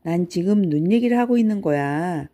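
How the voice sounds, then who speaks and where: Woman plays ancient toothless giant for children's storybook; gruff, blustery, soft-edged tone. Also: fairly low pitch, lively intonation, normal speed, close to an everyday voice. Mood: puzzled, gruff. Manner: blustery, exclaiming, grumbling.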